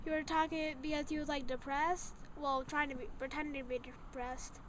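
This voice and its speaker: High-pitched child, halting, noisy recording. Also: flat delivery. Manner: halting, searching for words. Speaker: young guest reviewing a show. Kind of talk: impromptu casual interview.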